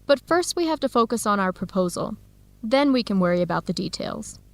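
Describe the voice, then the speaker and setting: A woman playing an eager, polite young researcher in a radio drama for English learners, speaking in clear, courteous phrases. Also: medium pitch, lively intonation, fairly quick, no room sound, natural voice. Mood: encouraging, businesslike.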